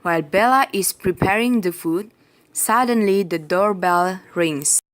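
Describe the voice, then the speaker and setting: Mid-pitched woman narrating a story. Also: a little slow, slight background noise. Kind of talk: scripted story narration for a radio play.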